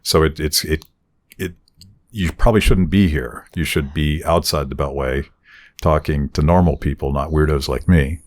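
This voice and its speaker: A man hosting an interview in a casual, halting, rambling conversational way. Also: extremely low pitch. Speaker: interview host.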